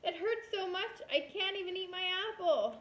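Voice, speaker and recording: Woman acting a high-pitched whiny little boy, yelling. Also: expressive, normal speed. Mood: pained, pleading. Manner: yelling, pleading for parents' help. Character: whiny little boy hurting from a loose tooth. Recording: roomy echo.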